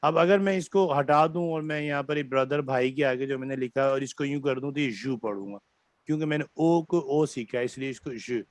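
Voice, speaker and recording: Pakistani guy teaching french online, mixes hindi and english, lots of uhs, middle pitch, dry close mic. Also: brisk, no room sound. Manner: explains patiently, switching between Hindi-Urdu and English, many fillers. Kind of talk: online class lesson.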